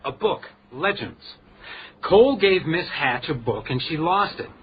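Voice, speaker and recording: An actor playing an earnest, level-headed police detective in a radio drama, dogged and probing. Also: male voice, on the high side, lively intonation, unhurried. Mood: excited deduction. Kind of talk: radio drama acting with narration. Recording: dull, noisy radio drama recording.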